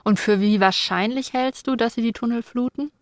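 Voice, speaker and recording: A woman's voice: a little high, moderate pace, no echo, clean studio sound. A woman hosting an interview, asking questions in a conversational way. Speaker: interviewer, podcast host.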